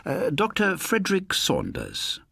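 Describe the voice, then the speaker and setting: A man's voice: sits high, wildly swooping pitch, a little slow, creaky, pushed and bright, slightly rough, no room sound, studio quality, voice pushed up, full character voice. A man voicing a murderer who poses as a genial, educated doctor, polite and resonant, in an audiobook story. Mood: ingratiating.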